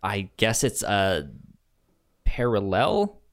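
Slow-paced man chatting on a podcast. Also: lowish voice, huge pitch swings, clean tone. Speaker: podcast co-host. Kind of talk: casual podcast discussion.

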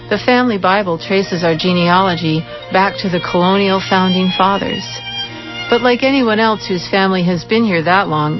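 Woman narrator, reflective scripted delivery, dull broadcast sound with music behind. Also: on the low side. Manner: measured, reflective storytelling. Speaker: documentary narrator.

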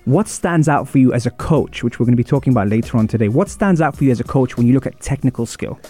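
A man hosting a radio interview show in a studio, asking questions and giving frequent quick agreements. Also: mid-range voice, lively intonation, dark tone. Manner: affirming, many quick agreements.

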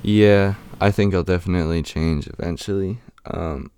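Young male rapper, deep raspy voice, hesitant and filler-heavy, dry close recording. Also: fairly even intonation, darkish tone, dry recording. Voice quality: raspy and deep right now. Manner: halting, many "um" and "like" fillers. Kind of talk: casual interview answers.

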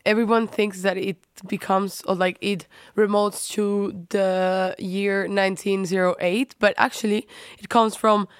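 Clear young woman with Spanish accent. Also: medium pitch, little pitch movement, unhurried, few pauses, dry recording, studio quality. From Spain. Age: young adult. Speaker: guest, student. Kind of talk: informal explanatory podcast talk.

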